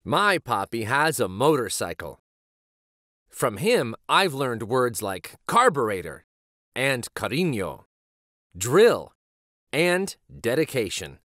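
Animated man reading a picture book. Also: mid-range voice, no echo, clean studio sound. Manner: animated, deliberate storytelling delivery. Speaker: children's read-aloud host and storyteller. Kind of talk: expressive picture book narration.

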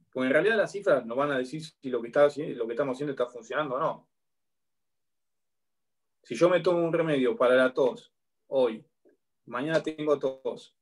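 Argentine guy in his 30s teaching a class over a webcam from home, slightly high voice, keeps asking "right?". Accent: Argentine Spanish. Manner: explanatory, checks in with a frequent "¿sí?". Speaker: law lecturer. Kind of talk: online university lecture. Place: home room with bookshelf. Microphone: laptop webcam on a video call.

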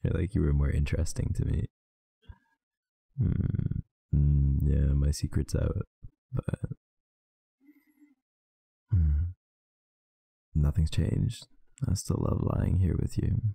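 A man with an extremely deep, gravelly voice murmurs slowly and tenderly into a close mic. His tone is dark and husky, and it sounds tired and affectionate. The sound is dry, with no room around it. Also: fairly even intonation, no room sound. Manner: soft, intimate, affectionate murmuring. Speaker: ASMR roleplay performer. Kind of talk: ASMR boyfriend roleplay.

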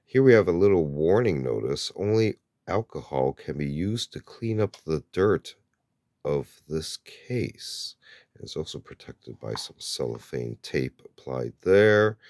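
A man's voice: rumbling low, animated, measured pace, a little mellow, dry recording, studio quality. A man hosting a show-and-tell video, narrating casually and hesitantly with many filler words while handling an object at a table indoors. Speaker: host of a show-and-tell channel.

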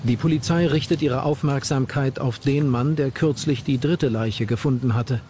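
A man's voice: mid-range voice, normal speed, warm tone, slight background noise. A man reading scripted narration for a documentary.

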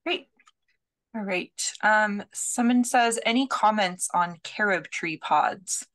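A young woman moderating a webinar over a headset, reading out audience questions in a measured way. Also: mid-range voice, fairly bright tone. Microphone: headset on a video call.

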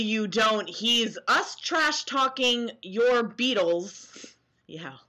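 A woman's voice: a little high, bright, forceful tone. A woman in her twenties vlogging to a webcam, mixing casual sarcastic commentary with mock-dramatic, theatrical reading. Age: 20s. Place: small dim bedroom, indoors.

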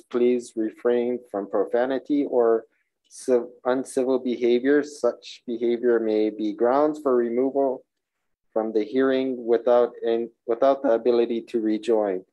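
A man's voice: medium pitch, mellow, muffled recording. A man in his forties chairing a legislative hearing from a desk microphone in a hearing room, reading rules and calling testifiers with informal asides. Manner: informal asides, stumbles over names. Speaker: committee chair running a public hearing. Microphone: desk microphone on a stand.

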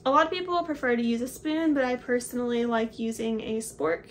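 Young woman in her 20s, kinda high voice, chatty gear rundown at her desk, faint hiss. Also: fairly even intonation, brisk. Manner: chatty, explains while holding up each item. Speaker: hiking vlogger. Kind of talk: casual gear walkthrough to camera. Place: small home office with a window, indoors.

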